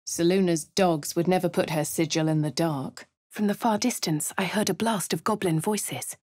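A woman's voice: on the low side, moderate pace, a little mellow, dry recording, clean studio sound, close to an everyday voice. An actress with a posh English accent voicing a wry female fantasy adventurer in a video game, narrating and speaking in character. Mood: measured, wry.

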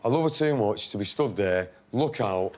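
A man's voice: fairly low pitch, lively intonation, moderate pace, darkish tone. A man, talking casually and bluntly about planning his wedding at home. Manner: blunt, jokey. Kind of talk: casual chat. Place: living room at home.

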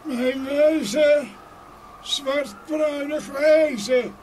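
A man voicing a solemn dead old father in an audio fairy tale, speaking in halting, drawn-out pronouncements. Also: very high-pitched, fairly even intonation, heavily acted. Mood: calling, commanding. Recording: noisy ambient sound behind voice.

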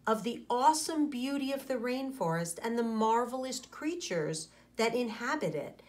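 A woman in her forties, a teacher reading aloud to children and explaining, with deliberate, pausing phrasing. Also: on the high side, expressive.

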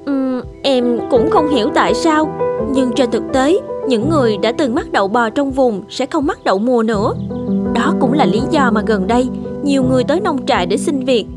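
A woman playing a gentle, steadfast young countrywoman in a cartoon, earnestly explaining herself. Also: high voice, expressive, moderate pace. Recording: loud background music.

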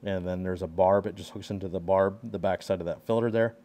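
Low-voiced man touring a workshop build. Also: fairly even intonation, fairly quick, dark tone. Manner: explaining hands-on while filming himself. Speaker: pressure washing skid builder. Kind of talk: casual walkaround tour.

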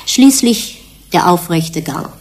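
An actress playing an earnest scientist in a German radio drama, lecturing colleagues in a measured, precise, didactic way. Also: female voice, lowish voice, expressive, slightly rough, heavily acted. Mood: earnest, explanatory.

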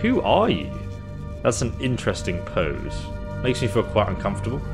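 Wry, low-pitched man with lively delivery. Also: normal speed, gravelly. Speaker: video game YouTuber. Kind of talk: casual gameplay commentary. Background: game music.

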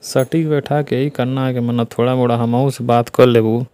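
A man's voice: mid-range voice, fairly even intonation, moderate pace, mellow, slight background noise, natural voice. A man playing a lazy, flirtatious male temple priest on a phone call, talking in a teasing, chatty way with a Bhojpuri accent. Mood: sly. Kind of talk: scripted comic phone prank.

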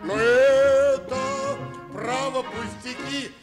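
An actor playing a bumbling, self-satisfied sea captain in a Soviet radio play, pompous and boastful. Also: male voice, extremely high pitch, fairly even intonation, very slow, over music, heavily acted. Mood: jaunty singing. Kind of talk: Soviet radio play acting with comic song.

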